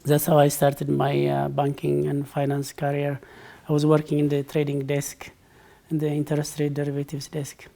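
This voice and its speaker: A man's voice: a little high, monotone, normal speed, vocal fry, dark tone. A middle-aged man from Ethiopia, a guest in a conversational interview, who answers thoughtfully with frequent fillers and restarts.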